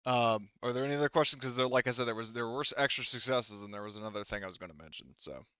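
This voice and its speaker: A man narrating a tabletop roleplaying game as game master, with halting phrasing and frequent repeats. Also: medium pitch, a bit bright, smooth, no room sound, muffled recording.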